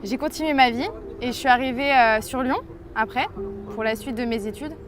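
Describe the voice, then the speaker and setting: A woman's voice: on the high side, fairly quick, faint music behind. A woman in her twenties from France, giving an earnest, hesitant personal faith testimony to the camera. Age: 20s. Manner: earnest, hesitant, reflective storytelling.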